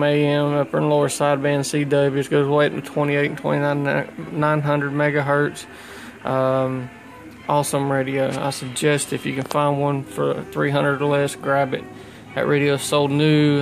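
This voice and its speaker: A man talks casually as a hobbyist showing his gear. His voice is mid-pitched and gravelly, with very little rise and fall. He speaks in a folksy, colloquial way into a handheld camera in a small room. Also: low-quality recording. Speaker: hobbyist CB radio operator. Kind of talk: casual showcase of own gear. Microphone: handheld phone camera behind the lens.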